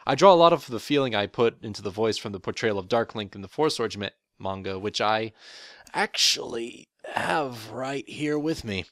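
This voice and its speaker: A young man with a deep voice, a voice actor giving a prepared, relaxed, matter-of-fact account of his role. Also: moderate pace, no echo, clean studio sound. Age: young adult. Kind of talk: prepared interview monologue. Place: college dorm room.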